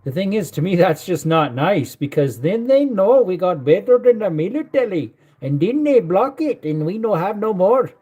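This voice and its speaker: A man's voice: high voice, big pitch swings, moderate pace, few pauses, a little mellow, slightly rough. A man hosting a casual livestream, rambling and joking while greeting viewers in the chat. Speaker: livestream host.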